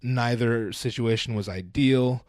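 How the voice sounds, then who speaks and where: A man's voice: medium pitch, a little slow, a little mellow, no room sound, studio quality. A man in his thirties, a podcast guest, talking about his upbringing in a laid-back, nonchalant way and swearing freely. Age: 30s. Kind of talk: casual chat.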